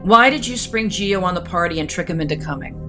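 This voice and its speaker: A woman's voice: on the low side, expressive, fast, music in the background, close to an everyday voice. A middle-aged woman playing an imperious, disapproving wealthy mother in an audio soap opera, with a formal, scolding manner. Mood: reproachful. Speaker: actor.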